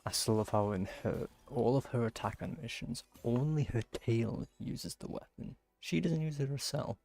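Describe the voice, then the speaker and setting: A man's voice: mid-range voice, moderate pace, creaky, darkish tone. A man giving casual, offhand gameplay commentary, half muttering to himself as he plays. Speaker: video game player commenting on gameplay.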